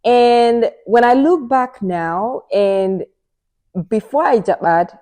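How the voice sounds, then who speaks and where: A woman's voice: a little high, takes it slowly, mellow. A Nigerian woman in her thirties co-hosting a podcast, talking earnestly and giving advice in a casual chat. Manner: earnest, advising, repeats and finishes the other's phrases. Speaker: podcast co-host.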